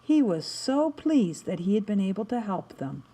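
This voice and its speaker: Lively woman reading a children's storybook. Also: mid-range voice, normal speed. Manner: animated, dramatic delivery with emphatic word stretching. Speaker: storybook reader. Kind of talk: expressive children's story narration.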